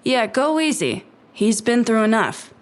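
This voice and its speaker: Sharp-tongued, defiant woman. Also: fairly high pitch, sing-song, unhurried. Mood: sarcastic, defiant. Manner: sarcastic, defensive. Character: defiant, sharp-tongued female neighbor and dog owner. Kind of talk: audio drama acting.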